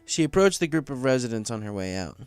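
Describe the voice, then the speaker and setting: A man's voice: medium pitch, big pitch swings, quick pace, darkish tone, smooth. Man narrating a story over faint music. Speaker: story reader. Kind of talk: scripted narration.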